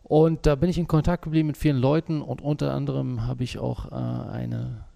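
A man's voice: mid-range voice, fairly even intonation, fairly quick, vocal fry, dark tone. A man as the interview guest, a small business owner, talking through his work in a hesitant way with many fillers. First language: German. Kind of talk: conversational interview.

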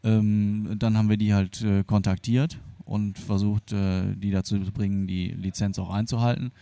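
A man's voice: fairly low pitch, flat delivery, moderate pace, creaky, warm tone, smooth, dry recording. A man who grew up in Germany, explaining things in a colloquial, hesitant way as an interviewee. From born Argentine, grew up in Germany. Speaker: interviewee, free software developer. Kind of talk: spontaneous interview answers, explanatory.

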